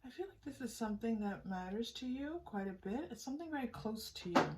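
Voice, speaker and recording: A woman giving an improvised spiritual tarot reading straight to the viewer, in a halting, conversational way that often seeks agreement. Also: on the high side, lively intonation, a little mellow, far from the mic. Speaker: tarot reader and channel host.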